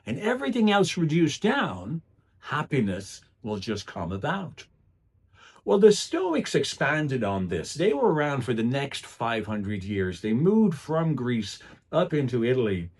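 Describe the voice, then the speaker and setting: A middle-aged man giving a motivational speech in a deliberate, emphatic way, telling stories as he goes. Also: medium pitch, wildly swooping pitch, breathy edge. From Ireland. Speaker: public speaker. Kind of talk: motivational club speech with storytelling.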